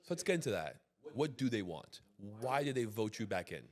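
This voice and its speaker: A man's voice: on the low side, sing-song, moderate pace. A man hosting a casual interview in an agreeable, affirming manner. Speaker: podcast host, interviewer.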